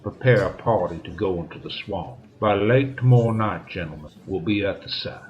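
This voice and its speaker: Man as a grave, low-voiced police inspector. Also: moderate pace, dull audio, lightly acted. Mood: polite, decisive. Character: grave, courteous male police inspector in 1930. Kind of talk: old-time radio drama acting.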